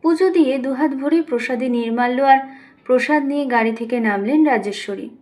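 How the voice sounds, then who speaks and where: A woman with a high voice reads a scripted literary story. She narrates expressively, as an audiobook storyteller does. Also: a little fast, darkish tone. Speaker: audiobook reader.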